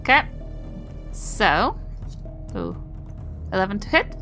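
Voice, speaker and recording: A woman's voice: mid-range voice, wildly swooping pitch, takes it slowly, sharp tone, faint music behind. A woman chatting casually as a player in a tabletop role-playing game, with a playful, giggly manner. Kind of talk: casual chat.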